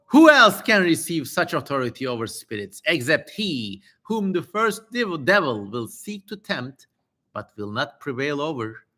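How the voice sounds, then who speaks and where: A man's voice: fairly high pitch, very animated, unhurried, pushed and bright, pitched above the performer's own voice, exaggerated voice. A man voicing an ominous demon in a dramatic scripture reading, proclaiming prophecy in a menacing, grandiose manner. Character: ominous male demon prophesying doom to a king.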